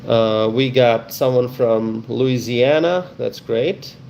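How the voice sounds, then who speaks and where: Man, Bangladeshi accent, unhurried pace, friendly live-stream host, welcoming, repetitive, faint noise behind the voice. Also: medium pitch, gravelly, darkish tone. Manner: friendly, welcoming, repeats himself. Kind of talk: casual live-stream chat with viewers.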